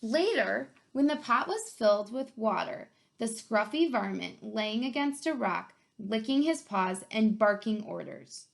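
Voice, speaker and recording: A woman co-reading a children's story, narrating in an expressive, measured way. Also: a little high, gravelly. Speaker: co-reader of the story.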